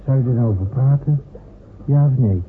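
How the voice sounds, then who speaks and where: An actor playing a gruff, laconic seaman who patiently hears out a comrade in a Dutch radio play. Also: mid-range voice, fairly quick, natural voice. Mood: gruff, probing. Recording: tinny, noisy old radio play recording.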